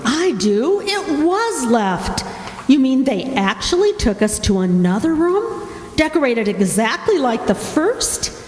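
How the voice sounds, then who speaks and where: A woman plays a doubting young honeymoon wife in a radio mystery. She is sharp and questioning, with a high, very lively voice, heard in a reverberant hall with faint noise. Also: slow, exaggerated voice. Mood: astonished. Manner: animated, questioning. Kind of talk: stage radio mystery acting.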